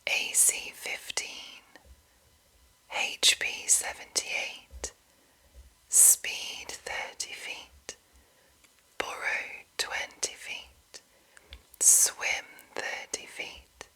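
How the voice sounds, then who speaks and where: A woman ASMR host speaking entirely in a soft, breathy, unvoiced whisper to her viewers. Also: very slow, long pauses. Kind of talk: whispered ASMR reading of game rulebook and chat to viewers.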